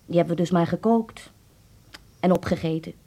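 A woman's voice: lowish voice, moderate pace, dark tone. An actress playing a nostalgic, chatty German woman from a Berlin hotel family in a radio drama, telling stories with German phrases mixed in. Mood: somber recollection. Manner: storytelling, peppers speech with German phrases.

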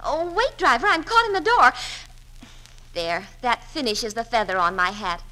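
Tired chatty housewife for vintage radio commercial, exclamatory, lively high voice. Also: female voice, normal speed, low-quality recording. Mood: flustered, grumbling. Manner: breathless grumbling, exclamatory. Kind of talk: 1940s radio advertisement acting. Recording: vintage 1940s radio, faint noise.

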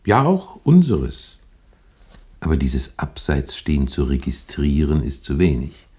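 Very deep, earnest, preachy pastor. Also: male voice, big pitch swings, a little mellow, slightly rough, full character voice. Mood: fervent, insistent. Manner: preachy, emphatic, deliberate. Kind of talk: German radio play acting.

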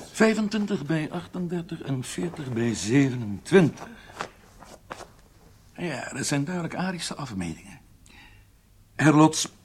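An actor playing a coldly courteous German doctor in a radio drama, formal, clinical and politely menacing. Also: male voice, fairly high pitch, husky.

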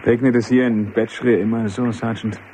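A man playing a polite, persistent army captain and defence counsel in a radio drama, husky and measured. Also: mid-range voice, steady tone, dark tone, a little hiss or hum, natural voice, low-quality recording. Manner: measured, courteous, insistent. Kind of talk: German radio drama acting.

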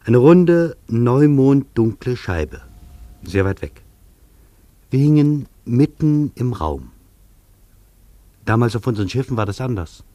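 A man's voice: medium pitch, very animated, slow pace, pauses often, mellow, dry recording. A man playing a world-weary, solemn flier who muses poetically in a literary radio play. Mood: solemn, awed. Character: world-weary male flier musing on the earth below. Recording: clearly noisy old radio play recording.

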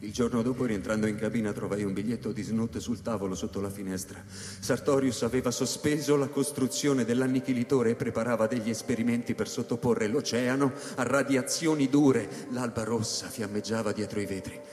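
An actor playing a haunted, lovestruck scientist who narrates a radio drama with intense, broken phrasing. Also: male voice, mid-range voice, steady tone, fairly quick, darkish tone, natural voice. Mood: grave, subdued. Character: haunted male scientist narrating, tormented and in love. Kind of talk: theatrical radio drama, first-person narration and dialogue. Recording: roomy, long hall reverb under music. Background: music.

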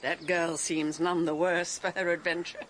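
Actress as a stern, guarded older British aunt. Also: female voice, fairly low pitch, level tone, noisy background, close to an everyday voice. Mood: brisk. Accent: British English. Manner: brisk, no-nonsense. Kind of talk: radio drama acting.

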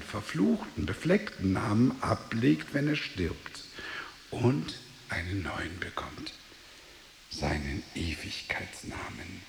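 A man playing a tormented, world-weary merchant full of guilt and longing for death, in solemn, deliberate radio drama delivery. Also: fairly low pitch, vocal fry, roomy sound, slight background noise, full character voice. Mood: solemn, hushed. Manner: grave, deliberate, declamatory delivery.

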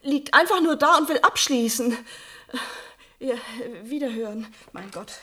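A woman's voice: sits high, expressive, a little slow, fairly bright tone, full character voice. An actress in a German radio play playing a fretful middle-aged wife and mother who pleads and hesitates anxiously. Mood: frightened. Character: anxious, fussing housewife, worried wife and mother.

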